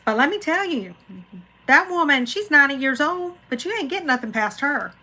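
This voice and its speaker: A woman's voice: sits high, lively intonation, brisk, sharp tone. A woman, hosting a casual live chat and rambling to viewers by name. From Florida, USA. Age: 40s. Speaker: craft channel host reading live chat.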